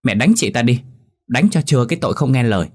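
A man's voice: medium pitch, expressive, quick pace, pitched above the performer's own voice, lightly acted. A man doing a whiny spiteful stepsister. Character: spiteful young woman, tattling stepsister.

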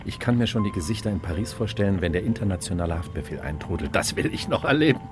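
An actor playing a smug, calculating official, condescending and wry, in a radio crime drama. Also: male voice, deep voice, expressive, fast talker, music in the background. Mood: calculating, self-satisfied. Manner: smooth-talking, condescending, wry. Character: smug, calculating male official, cool and ambitious.

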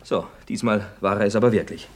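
An actor playing a cheeky, sarcastic young-spirited son in a radio comedy, husky, mocking and theatrical. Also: male voice, on the low side, brisk, a little mellow, close to an everyday voice. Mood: pompous, sarcastic. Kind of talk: stage comedy radio acting.

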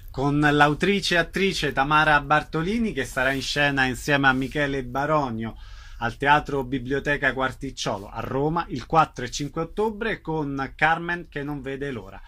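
Bright-voiced man hosting radio. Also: mid-range voice, normal speed. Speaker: radio host interviewing a guest. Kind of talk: radio arts interview.

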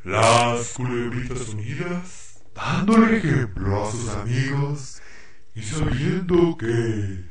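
A man's voice: medium pitch, sing-song, takes it slowly. A man narrating a scripted story as the narrator of an amateur radio play. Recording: very echoey, distant, crowd noise behind.

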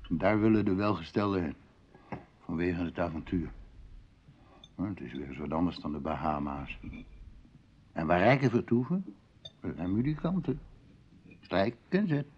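An actor in a Dutch radio drama playing an intrusive, flattering musician who is a dinner guest with secret desires. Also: male voice, low-pitched, normal speed, long pauses, slightly rough. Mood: insinuating. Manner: flattering, florid, provocative. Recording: muffled, noisy old radio play recording.

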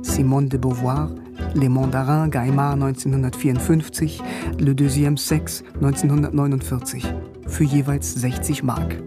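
An actor playing a bookish young East German philosophy student in a radio drama, narrating in a wry, literate way. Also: male voice, mid-range voice, little pitch movement, normal speed, music in the background, close to an everyday voice. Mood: wry, reflective. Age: young adult. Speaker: narrator and lead.

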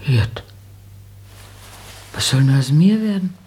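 A fretful elderly mother in a radio play. Her voice is very raspy and breathy, and she speaks simply, with a timid, worried hesitancy. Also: low voice, lively intonation, takes it slowly, dark tone, exaggerated voice. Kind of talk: German radio drama acting.

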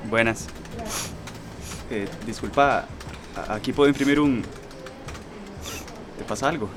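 An actor as a polite, nerdy young Costa Rican man, eager to impress. His mid-pitched voice runs on in pedantic little explanations, with faint ambience behind, in radio drama style. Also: unhurried, background noise, lightly acted. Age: young adult. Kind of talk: naturalistic radio drama acting.

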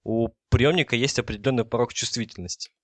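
A man's voice: medium pitch, fast talker, no echo. A man explaining technical matters in a casual, conversational panel discussion.

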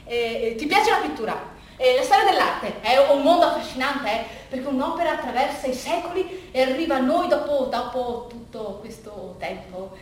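A woman performing a theatrical monologue as a flustered art restorer who stammers and repeats words haltingly. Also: high voice, expressive. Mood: awkward, nervous. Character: flustered, stammering church fresco restorer called Gordon. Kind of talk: theatrical monologue for radio, narrating and acting. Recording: echoey room, distant mic, faint noise.

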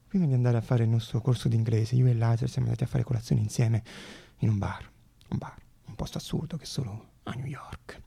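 Male theatre monologist: husky, very creaky voice, dramatic storytelling, deliberate pauses. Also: medium pitch, dark tone. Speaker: stage actor and storyteller.

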